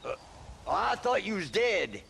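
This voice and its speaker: An actor playing a cantankerous, self-excusing old drunkard in a Western video game, gravelly and defensive, with a rural American accent. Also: male voice, high voice, very animated, measured pace, fairly bright tone, a little hiss or hum, heavily acted. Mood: defensive, whiny. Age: older. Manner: defensive, grumbling. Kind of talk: dramatic video game acting.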